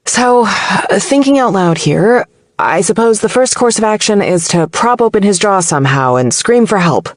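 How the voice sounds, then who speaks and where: A woman voicing a wry, quick-witted female survival podcast host in an audiobook novel, with some nerves. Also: mid-range voice, expressive, dry recording, studio quality, pitched above the performer's own voice, close to an everyday voice. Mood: playful, pleading.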